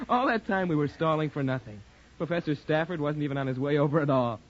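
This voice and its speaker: An actor playing a good-natured, slangy young college sidekick in a 1940s radio drama. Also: male voice, on the high side, fairly quick, natural voice. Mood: amused, puzzled. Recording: dull, noisy old radio recording.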